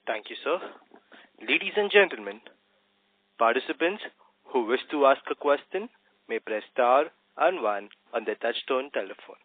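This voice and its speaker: A man's voice: mid-range voice, animated, normal speed, no room sound. Male conference moderator reading formal prompts, mid-range voice, close and dry over a phone line. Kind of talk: scripted operator announcement. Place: conference call.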